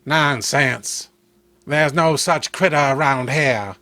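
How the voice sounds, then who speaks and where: Man acting a forceful, skeptical small-town sheriff. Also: mid-range voice, slow pace, no echo. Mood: dismissive. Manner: dismissive, authoritative. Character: gruff, skeptical small-town male sheriff, brisk and commanding.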